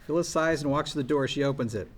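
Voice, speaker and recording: A man's voice: on the high side, fast talker. A man reading stage directions aloud as scripted narration at a live table read. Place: live table read in a room with people laughing. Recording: noisy live room recording.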